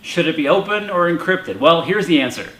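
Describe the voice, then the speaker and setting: Higher-voiced American man, echoey room. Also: animated, brisk, a bit bright. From United States. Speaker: video presenter and commentator. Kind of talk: explanatory opinion commentary to camera. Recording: roomy echo.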